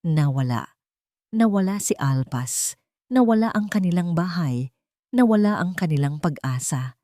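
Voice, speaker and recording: A woman narrating a scripted dramatic story with measured, dramatic phrasing. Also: sits low, a little mellow, no room sound, studio quality.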